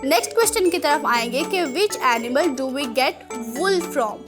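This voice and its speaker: A woman with a Pakistani accent presenting a children's show in a playful, chatty, animated way. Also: high voice, slow, over music. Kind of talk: playful quiz and segment presenting.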